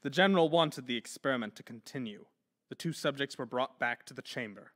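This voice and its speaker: A man's voice: medium pitch, expressive, brisk, fairly bright tone. A man narrating a horror story in an intense, theatrical manner.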